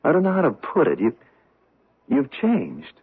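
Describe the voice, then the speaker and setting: An actor playing a gentle, hesitant American husband in a radio mystery drama, halting and tactful in his speech. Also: mid-range voice, wildly swooping pitch, slightly rough. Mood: hesitant concern. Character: gentle, hesitant American husband, mildly reproachful but affectionate. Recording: muffled narrow-band old radio broadcast.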